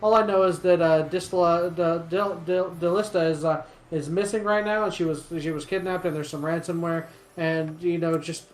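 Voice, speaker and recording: A man's voice: fairly high pitch, steady tone, normal speed, hardly any pauses, a little hiss or hum, natural voice. Man playing a rambling townsperson gossip, roomy sound. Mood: rambling, unsure. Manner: rambling, repetitive, hesitant, self-contradicting. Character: rambling, gossipy male townsperson in a fantasy city.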